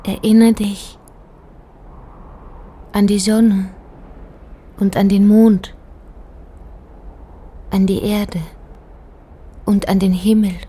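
Breathy, hypnotic dream figure. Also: female voice, mid-range voice, flat delivery, extremely slow, long pauses. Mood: beckoning, hypnotic. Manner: incantatory, repetitive, hushed. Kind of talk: poetic radio play monologue. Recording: studio radio drama with music underneath. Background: music.

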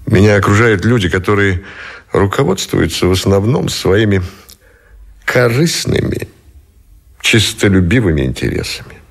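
An actor playing a weary, brooding admiral and supreme commander in a radio drama, speaking deliberately with heavy pauses. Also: male voice, on the low side, expressive, gravelly, slightly rough, exaggerated voice. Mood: bitter, anguished.

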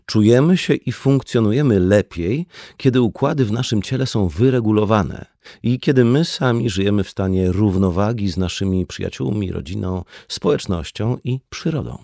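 Low-voiced man narrating, dry sound. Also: moderate pace, gravelly, dark tone, dry recording. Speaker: audiobook reader. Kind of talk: scripted narration of a conversational non-fiction book.

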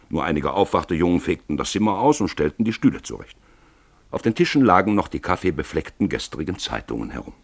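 A man narrating a literary radio play in a theatrical, expressive style, stretching words for emphasis. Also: a bass voice, vocal fry, slightly rough, dry recording.

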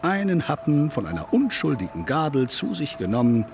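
An actor playing a cynical, coolly provocative man in a stylised radio play, smug, needling and deliberate. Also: a little high, sing-song, darkish tone, soft background music. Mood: mocking, smug.

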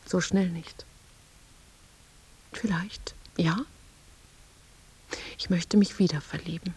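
An actress playing a dreamy, wistful younger woman who remembers and loves quietly, hushed and reflective in an intimate radio play. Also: fairly low pitch, fairly even intonation, slow, slight background noise. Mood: tender. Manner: hushed, reflective, often dropping to a whisper.

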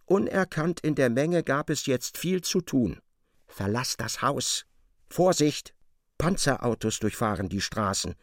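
An actor playing an intense storyteller, narrating a feverish tale for radio drama. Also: male voice, medium pitch, creaky, dry recording, clean studio sound.

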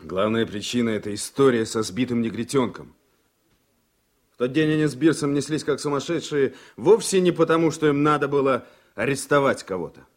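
A man's voice: mid-range voice, a little fast, close to an everyday voice. An actor playing a troubled, principled man in a radio drama, earnest and hesitant in his speech. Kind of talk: Soviet-era radio drama acting.

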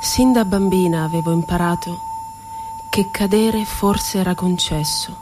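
An actress playing a wounded, ironic woman poet remembering the asylum, in a deliberate theatrical radio monologue full of drawn-out words. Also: on the low side, noisy background, natural voice. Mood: wistful, solemn. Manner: deliberate, poetic delivery with drawn-out words and rhetorical questions.

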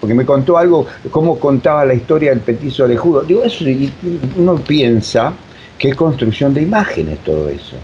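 A mature man with an Argentine accent explaining reflectively as an interview guest, with emphatic repetition and deliberate pauses. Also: medium pitch, very animated, mellow. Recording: dull lo-fi sound, faint noise.

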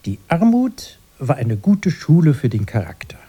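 An old, proper brother in a radio drama. His voice is mellow and husky, with lively swings in pitch. He reminisces earnestly and is quick to correct, in a slightly piqued way. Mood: prim, earnest. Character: elderly brother, proper and nostalgic, easily piqued. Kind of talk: radio play dialogue.

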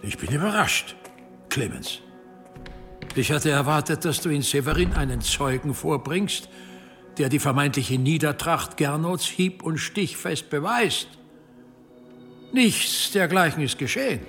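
An actor playing a measured, dignified medieval archbishop presiding as judge in a radio play, formal and judicious. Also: male voice, a little high, expressive, a bit bright, soft background music. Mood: skeptical.

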